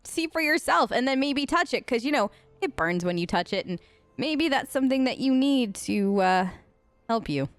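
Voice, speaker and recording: High-voiced, sarcastic woman gamer. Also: animated, normal speed, no echo. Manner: sarcastic, conversational. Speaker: gaming video host. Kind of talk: improvised in-character role-play let's play.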